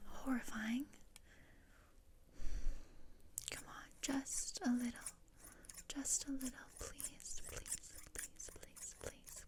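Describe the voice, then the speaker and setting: A woman playing a morbid, bossy gothic teenage girl in a breathy, deadpan ASMR roleplay whisper, close to the microphone. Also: on the high side. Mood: coaxing. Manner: deadpan, dry, matter-of-fact. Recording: close-up with clear noise and clicks.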